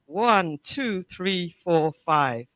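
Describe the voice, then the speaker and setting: A woman's voice: on the low side, lively intonation, extremely slow, fairly bright tone, no echo. A woman auditioning as a performer, giving short, plain replies and introducing herself. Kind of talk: brief, plain self-introduction.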